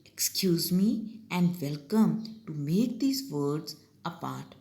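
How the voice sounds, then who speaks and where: A woman's voice: sits low, expressive, creaky, warm tone. A young woman teacher with a Pakistani English accent, patiently walking young pupils step by step through their summer tasks. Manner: slow, patient, step-by-step instruction.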